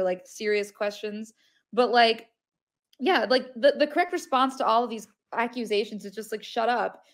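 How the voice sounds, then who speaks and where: A woman on a podcast panel, talking bluntly and dismissively over a video call, filling her speech with "like". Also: mid-range voice. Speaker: panel guest. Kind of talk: casual political podcast chat.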